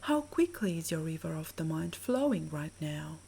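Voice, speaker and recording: A woman with a soft, breathy voice narrating a scripted guided sleep meditation in a soothing, measured, gently instructing way. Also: sits low, big pitch swings, slight background noise.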